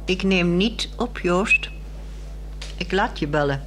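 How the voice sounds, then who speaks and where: A woman acting a weary, resolute married woman in a 1960s radio drama, speaking in a measured, articulate and wry way. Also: lowish voice, lively intonation, fairly bright tone. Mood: resolute, sad.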